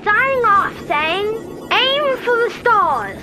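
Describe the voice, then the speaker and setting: Radio serial: woman playing polite, eager young cadet, extremely high voice, music behind. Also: big pitch swings, slow, heavily acted. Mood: earnest, eager. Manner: earnest, polite. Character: eager young boy space cadet from the future. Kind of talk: retro radio serial acting.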